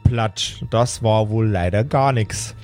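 A man works as game master, narrating an improvised tabletop adventure in a dramatic, deliberate storytelling way with casual asides. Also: lowish voice, darkish tone. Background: background music.

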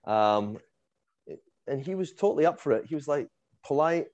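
A man with a Scottish accent, casually telling a lively story as a guest and laughing and swearing along the way. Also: fairly high pitch, moderate pace, dry recording. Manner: animated, swears, laughs while telling, acts out quotes.

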